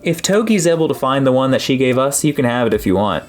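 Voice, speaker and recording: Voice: mid-range voice, expressive, fast, close to an everyday voice. A narrator voicing an upbeat, joking father in his twenties in an audiobook. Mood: chatty. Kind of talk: audiobook character dialogue. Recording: music behind the voice.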